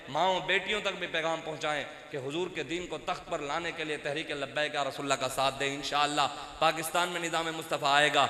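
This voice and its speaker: Punjabi-accented male preacher, impassioned, slightly high and sharp, echoey hall. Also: a little hiss or hum. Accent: Punjabi-accented Urdu. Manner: impassioned, rising to loud outbursts then dropping low. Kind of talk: fiery sermon. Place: outdoor religious gathering with loudspeakers. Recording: echoey hall with long reverb.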